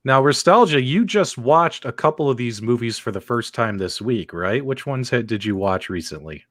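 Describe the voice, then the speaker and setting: A man's voice: mid-range voice, lively intonation, normal speed, a bit bright, no echo, clean studio sound. A man hosting a casual live panel show, informal and conversational as he addresses viewers directly. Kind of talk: casual live-stream hosting and chat reading.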